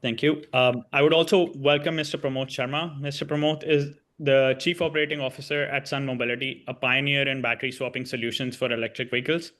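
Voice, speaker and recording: A man's voice: medium pitch, flat delivery, fast talker, few pauses, a bit bright. A man introducing the panelists, reading out their introductions in a formal, scripted way.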